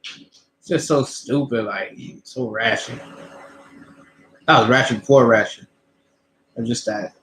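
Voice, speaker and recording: A man's voice: medium pitch, slow, pauses often, a bit bright, reverberant, a little hiss or hum, rough recording. A young man in his twenties, co-hosting a casual chat, rambling with many stammered restarts. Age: 20s. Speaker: co-host.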